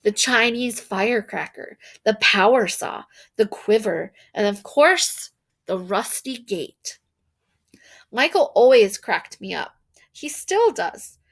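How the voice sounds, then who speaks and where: A woman reading a children's novel aloud in an expressive, animated storytelling manner, with emphasis on key words. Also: mid-range voice, takes it slowly, vocal fry. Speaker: storybook reader.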